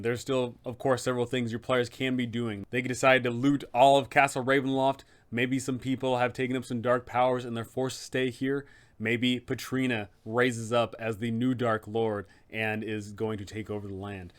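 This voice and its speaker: A man hosting a game master's guide, narrating in an emphatic, dramatic storyteller's style. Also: mid-range voice. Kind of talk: scripted, dramatic explanatory narration.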